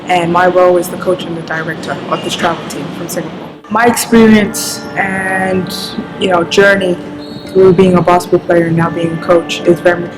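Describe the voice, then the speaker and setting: A woman from London, a basketball coach and team director, speaking in a measured, earnest interview and often saying "you know". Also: on the low side, rough recording. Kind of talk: interview talk to camera. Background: music.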